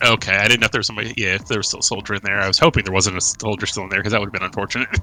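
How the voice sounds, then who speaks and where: A man's voice: on the low side, steady tone, racing, sharp tone, soft background music. A man playing a tabletop game, talking hesitantly with frequent restarts. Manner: hesitant, lots of ums and restarts. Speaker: player. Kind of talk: casual tabletop roleplay.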